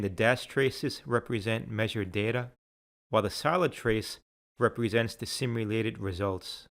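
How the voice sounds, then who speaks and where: A middle-aged man, a sales and applications engineer, reading a scripted technical explainer in a measured, precise way. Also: mid-range voice, no echo, studio quality.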